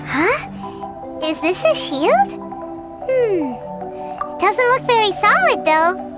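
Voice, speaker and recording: A woman's voice: extremely high pitch, big pitch swings, slow pace, loud music behind the voice, heavily acted. A woman voicing a tiny, cheeky fairy-like companion in a video game, with a childish manner. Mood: puzzled. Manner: childish, cheeky, speaks of herself in third person. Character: tiny floating fairy-like sidekick, cheeky and chatty. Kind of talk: animated video game voice acting.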